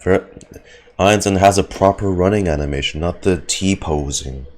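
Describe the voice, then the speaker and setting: A man giving casual live commentary on a game as a streamer, halting and wry. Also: low voice, a little mellow. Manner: halting, wry, self-joking.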